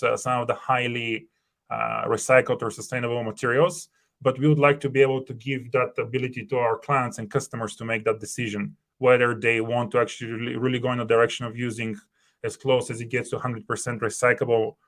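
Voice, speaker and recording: A man's voice: medium pitch, a bit bright. A man in his thirties whose first language is Bulgarian, an earnest startup co-founder thinking aloud with many fillers and restarts on a video call from home. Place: home room.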